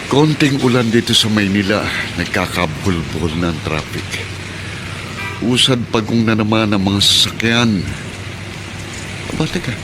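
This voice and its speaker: A man playing a gruff but kindhearted taxi driver in a radio drama, talking in a casual, blunt, teasing way. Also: on the low side, lively intonation, measured pace, pauses often. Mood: irritated, amused. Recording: clear vehicle noise behind.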